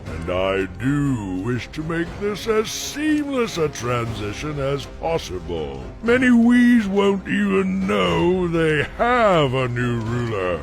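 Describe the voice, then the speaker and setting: A villainous cartoon dragon king with a very slow, grandiose delivery. The voice is somewhat high for a man and has a gravelly creak, full of haughty menace. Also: huge pitch swings, soft background music, full character voice. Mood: smug, threatening.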